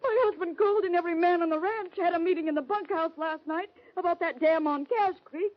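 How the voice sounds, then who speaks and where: Timid, high-voiced rancher's wife. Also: female voice, fairly quick. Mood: tearful pleading. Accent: American. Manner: hesitant, fluttery, pleading. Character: timid, anxious rancher's wife, gentle and pleading. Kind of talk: old-time radio western acting. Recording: dull vintage radio recording.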